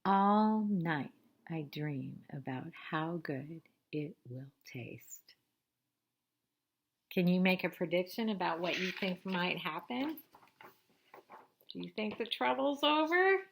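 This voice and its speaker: A woman reading a picture book aloud to children like a teacher, expressively, pausing for simple explanations and questions. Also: lowish voice, lively intonation, creaky, a little hiss or hum. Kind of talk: read-aloud storytelling with asides and questions to young listeners.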